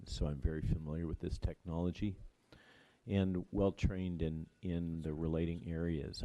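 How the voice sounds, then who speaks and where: A man with an extremely deep, flat voice gives formal testimony as a subject-matter expert. His tone is dark and mellow, and he speaks in a measured, deliberate manner. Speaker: scientific expert witness.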